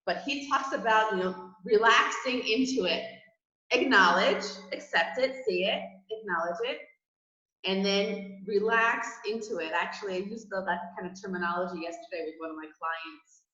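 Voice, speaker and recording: A woman's voice: medium pitch, normal speed, sharp tone. A woman co-hosting a casual chat, giving earnest, reflective advice to clients and listeners. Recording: echoey, slightly muffled room.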